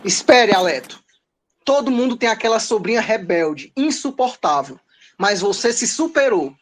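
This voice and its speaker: A woman's voice: on the high side, animated, normal speed, a little hiss or hum, natural voice. A woman playing a haughty, self-justifying male king of the gods in a pompous, declamatory comedy audio drama. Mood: indignant scolding. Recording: music behind the voice. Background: music.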